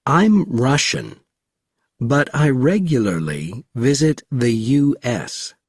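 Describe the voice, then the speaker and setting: A man reading textbook example sentences slowly and deliberately for a recorded lesson. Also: medium pitch, husky. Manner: very slow, deliberate reading with lively intonation. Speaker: recorded audio narrator.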